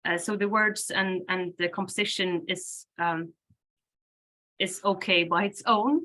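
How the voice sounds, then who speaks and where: A Swedish-native woman talks slowly about her songwriting, giving thoughtful answers full of hesitations and light laughs. Her voice is bright and forward. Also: on the low side, fairly even intonation, slight background noise. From Sweden. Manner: thoughtful, hesitant with many fillers, laughs often. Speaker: band singer and lyricist. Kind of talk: interview answers.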